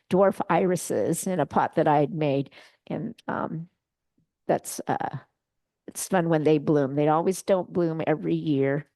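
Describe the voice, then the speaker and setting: A low-pitched woman with a gravelly edge talks casually through a slide show, pausing often with fillers and restarting her sentences. The sound is dry and close, with little room echo. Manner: relaxed, chatty, many fillers and restarts. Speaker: guest presenter at a bonsai club meeting.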